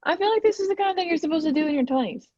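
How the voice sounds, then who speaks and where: A woman's voice: very high voice, lively intonation, racing, darkish tone, no room sound. A woman playing an easygoing, supportive friend in her twenties in a screenplay table read. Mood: upbeat. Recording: dull, lo-fi, dry mic sound.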